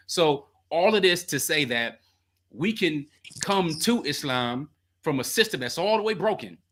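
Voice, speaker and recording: Fast, bright-voiced male call-in host. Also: medium pitch. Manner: animated, laughing, slangy storytelling. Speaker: call-in show host and coach. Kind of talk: call-in advice talk.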